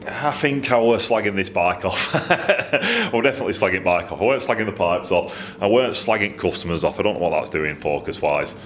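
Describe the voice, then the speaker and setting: Northern English man, deep-pitched, casual tradesman vlogger thinking aloud, with some noise behind him. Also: sing-song, brisk, a bit bright. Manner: rambling, thinking aloud, colloquial. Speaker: custom exhaust fabricator presenting his own workshop video. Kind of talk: casual, unscripted workshop vlog.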